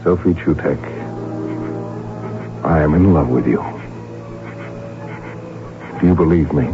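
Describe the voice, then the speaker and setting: Deep-voiced royal heir, courteous, smitten, self-deprecating, radio drama with music behind. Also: male voice, takes it slowly. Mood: ardent. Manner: courtly, gently teasing. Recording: muffled old radio broadcast, music behind.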